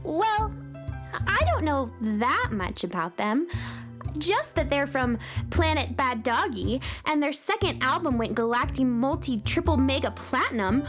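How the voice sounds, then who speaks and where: Chirpy little space cowgirl, really high and bouncy, kids radio show, chatty, bit of music behind. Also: big pitch swings, unhurried. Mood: bright, eager. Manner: chatty, bubbly, girlish. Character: perky girl space cowgirl who wrangles lost sounds. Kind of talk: children's audio drama acting.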